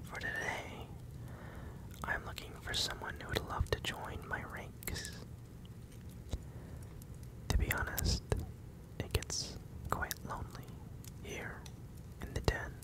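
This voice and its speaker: A performer voicing a male werewolf captor in a breathy, slow, teasing whisper, close to the mic in an ASMR roleplay. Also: close to an everyday voice. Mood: teasing, menacing. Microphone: close microphone, near the ear.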